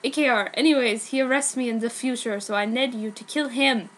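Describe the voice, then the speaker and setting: A woman's voice: a little high, a little fast, lightly acted. A woman voicing a petty, scheming man who explains himself in a wheedling way in a comic story. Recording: noticeable background noise, amateur recording.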